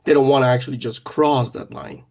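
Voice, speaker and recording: A man's voice: mid-range voice, fairly even intonation, a little fast, darkish tone. A man who teaches children, talking in a casual podcast conversation, hesitant and often restarting his sentences.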